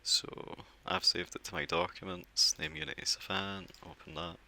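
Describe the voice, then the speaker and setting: A man with a low, gravelly voice talks through a software setup one step at a time. His tone is bright and forceful despite the depth, and he keeps things relaxed with small fillers as he clicks along. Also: normal speed. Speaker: software tutorial presenter. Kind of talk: screen-recorded software walkthrough.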